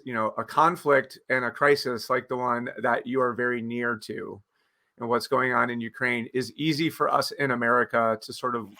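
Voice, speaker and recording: A man's voice: medium pitch, sharp tone. A man hosting an interview program, introducing his guest in a rambling way with many fillers and restarts. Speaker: host. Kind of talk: conversational interview.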